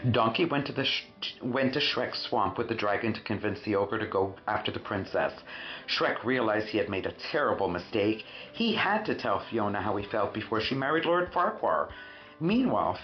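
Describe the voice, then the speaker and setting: A man reading a children's storybook expressively, with dramatic emphasis and drawn-out words. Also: on the low side. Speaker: storyteller, host of a read-aloud show. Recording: roomy, muffled sound with faint music.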